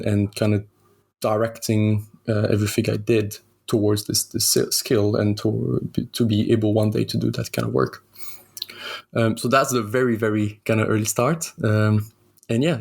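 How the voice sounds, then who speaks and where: A young man from Switzerland, a guest telling his story in a relaxed, hesitant way with many pauses. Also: fairly low pitch. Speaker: guest concept artist. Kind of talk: casual interview storytelling.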